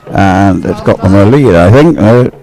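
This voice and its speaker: A man's voice: lowish voice, lively intonation, a little slow, mellow. A man giving live race commentary, explaining things in a hesitant, unscripted way. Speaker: sports commentator.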